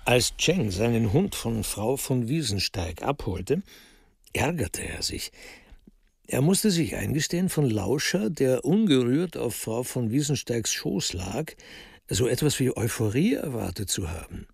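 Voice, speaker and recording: A man narrating a scripted radio crime drama in a wry, measured storytelling manner. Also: medium pitch, big pitch swings, vocal fry, darkish tone, husky, dry recording, studio quality.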